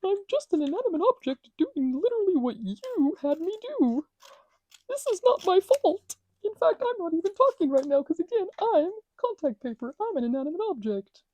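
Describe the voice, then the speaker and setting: A woman's voice: very high-pitched, sing-song, normal speed, warm tone, dry recording, voice pushed up, poor audio. A woman voicing a petulant sheet of contact paper that insists none of it is its fault. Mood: defensive. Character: whiny sheet of contact paper, female, defensive.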